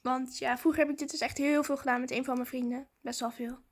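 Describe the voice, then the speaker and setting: A woman. She talks like a vlogger, in casual, excited chat aimed at her viewers. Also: sits high, fast.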